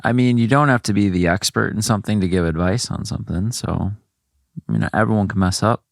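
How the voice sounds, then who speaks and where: A man's voice: lowish voice, fairly quick, creaky, dry recording, studio quality. A man co-hosting a podcast as a guest, chatting casually with short reactions.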